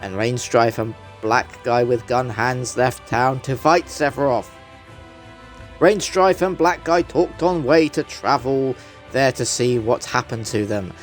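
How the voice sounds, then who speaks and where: A man's voice: mid-range voice, a little slow. A man narrating a story as a theatrical, mock-earnest dramatic reading. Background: background music.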